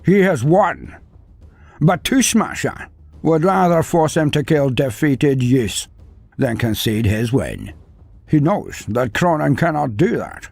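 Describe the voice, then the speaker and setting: Male narrator, dramatic storytelling, very lively delivery, dry recording with soft background music. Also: on the high side, slow pace, creaky, dry recording. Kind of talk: scripted narration of a fan story. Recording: dry close mic with faint music.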